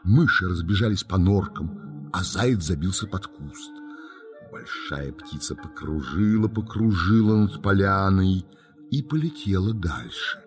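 A man narrating a children's forest story in a dramatic, suspenseful, deliberate manner. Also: low-pitched. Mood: suspenseful, storytelling. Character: hushed, mysterious storybook narrator of forest tales. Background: music.

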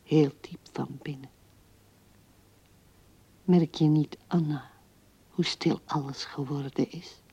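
An actress playing an old widow musing tenderly and haltingly at her husband's grave in a radio drama, her soft voice often dropping to a hush. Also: low-pitched, steady tone, husky, no room sound, heavily acted. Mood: tender, grieving. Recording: dull old radio recording.

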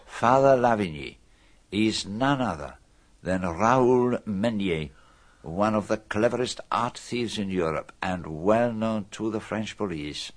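An actor playing a self-assured, methodical detective in a radio drama, speaking formally with dramatic emphasis. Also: male voice, lowish voice, expressive, takes it slowly. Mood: grave, revealing. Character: famous male detective, methodical, self-assured, theatrical in revealing a murderer.

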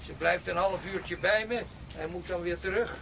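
Humble middle-aged woodcutter, high-pitched grumbler, garrulous, radio play with clear background noise. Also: male voice, normal speed. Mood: weary complaining. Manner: plaintive, garrulous. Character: humble, grumbling, easily amazed peasant woodcutter with grown daughter. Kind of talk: Dutch radio drama acting. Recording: noisy radio play with outdoor ambience.

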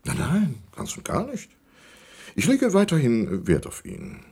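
An actor playing a small, eccentric old wealthy yacht owner in a radio crime drama, rambling theatrically and repeating himself. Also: male voice, on the high side, wildly swooping pitch, unhurried, a little mellow, husky, heavily acted. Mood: wistful, bitter. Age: older.

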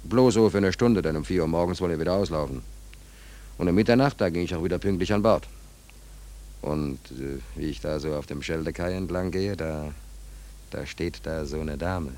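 A man's voice: deep voice, normal speed, darkish tone, lightly acted. A man acting in a 1940s German radio play as a stranded young sailor, telling his misfortune in rambling, colloquial, wry phrases. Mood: wistful, confiding. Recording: old 1940s radio recording.

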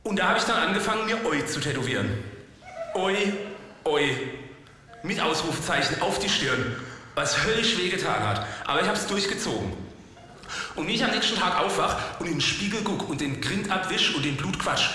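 A man's voice: on the high side, bright, forceful tone. An actor playing a rueful yet cocky washed-up ex-punk man, rambling in slangy colloquial speech in a comic monologue. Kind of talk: spoken comic monologue to an audience. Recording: very echoey hall, long reverb.

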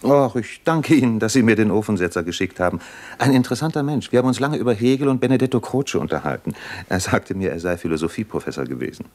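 A man playing an educated, mild and wryly resigned gentleman in a 1950s radio play, courteous and measured. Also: on the low side, sing-song. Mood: polite, reflective.

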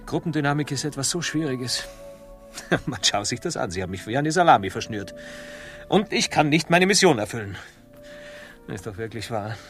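An actor in a comic radio drama playing a pompous, cowardly, self-pitying admiral, melodramatic and whining. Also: male voice, mid-range voice, expressive, normal speed, long pauses, fairly bright tone, lightly acted. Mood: self-pitying grumbling. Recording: music behind the voice.

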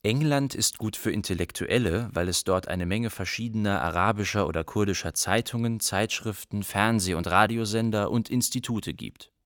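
Very deep actor as refugee narrator. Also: male voice, normal speed, few pauses, fairly bright tone, no echo, studio quality, natural voice. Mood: matter-of-fact. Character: young male refugee narrator, matter-of-fact, observant. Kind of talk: literary radio play narration.

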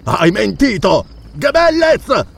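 A man's voice: high-pitched, very animated, slow pace, gravelly, husky, pitched above the performer's own voice, heavily acted. A man voicing a violent, half-mad tyrannical count with a menacing manner in a storybook reading. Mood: furious disbelief. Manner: raging, menacing, roaring.

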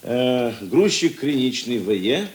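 An actor playing a seasoned police investigator in a radio drama, gruff but talkative, with a husky voice and halting, conversational delivery. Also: male voice, mid-range voice, big pitch swings, darkish tone, heavily acted. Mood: eager storytelling. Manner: musing, halting, conversational asides. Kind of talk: Russian radio drama dialogue.

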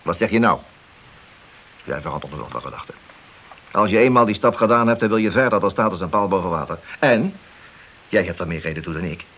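A man's voice: sits low, animated, fairly quick, pauses often, lo-fi sound. An actor playing an ambitious, glory-seeking policeman in a radio play, insistent and persuasive. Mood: coaxing, boastful. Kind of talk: radio play dialogue acting. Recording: old radio recording with hiss.

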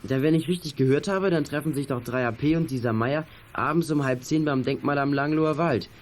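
A confident boy sleuth, played by a male actor, speaks in a fairly high voice. He sounds keen and in charge, as in an eighties children's radio play. There is faint noise behind him. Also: a little fast, close to an everyday voice. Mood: thoughtful, confident. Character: keen, confident boy detective leading his friends. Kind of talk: 1980s German children's radio play acting.

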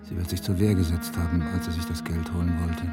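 An actor playing a stern, weary police detective in a crime radio play, terse and brooding. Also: male voice, deep voice, monotone, fairly quick, loud music behind the voice, lightly acted. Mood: brooding, reflective. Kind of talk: German radio drama acting, inner monologue.

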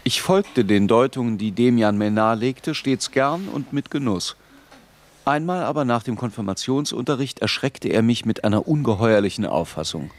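A man playing a reflective narrator who recalls his troubled boyhood in a radio play, measured and introspective. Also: medium pitch, expressive, close to an everyday voice. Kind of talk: scripted literary first-person narration in radio play.

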